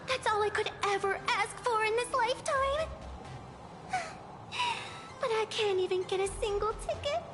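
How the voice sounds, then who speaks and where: A woman's voice: very high voice, moderate pace, pauses often, music in the background. A woman voicing a sweet, adoring young fox-girl fan in a gushing, theatrical anime game style. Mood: adoring, tearful.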